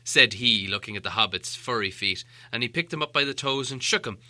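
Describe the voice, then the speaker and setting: A man reading a storybook aloud as an animated audiobook narrator. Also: medium pitch, brisk.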